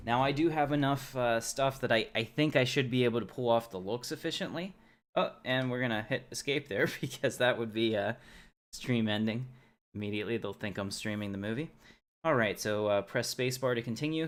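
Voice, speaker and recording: A man hosting a livestream, casually thinking aloud as he plays, in a halting, unhurried, musing way. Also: medium pitch. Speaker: livestream host. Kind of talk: casual live game commentary, thinking aloud.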